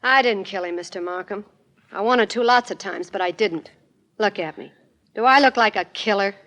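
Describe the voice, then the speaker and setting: An actress playing a plain-spoken, wounded magician's assistant under suspicion, in earnest, colloquial 1940s radio style. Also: female voice, mid-range voice, moderate pace, fairly bright tone, slight background noise, close to an everyday voice. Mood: defensive.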